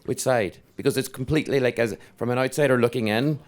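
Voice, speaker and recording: A man in the audience asking a question at a conference panel, speaking haltingly with many restarts and fillers. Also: medium pitch. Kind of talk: spontaneous question from the floor.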